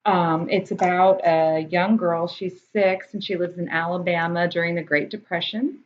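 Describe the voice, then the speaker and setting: Low-voiced American woman, casual teacher chat. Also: steady tone, moderate pace. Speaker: teacher and vlogger presenting books. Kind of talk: casual explanatory chat to camera. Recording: dull muffled audio, background room noise.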